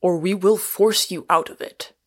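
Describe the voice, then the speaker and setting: A woman's voice: lowish voice, big pitch swings, unhurried, dry recording, clean studio sound, heavily acted. A woman voicing a stern middle-aged male doctor, brusque, curt and commanding, in an audiobook. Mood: furious. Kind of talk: audiobook character voice.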